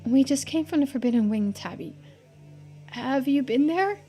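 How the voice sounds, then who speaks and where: Woman voicing an earnest, urgent high-voiced young woman. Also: animated, moderate pace, dark tone, faint music behind.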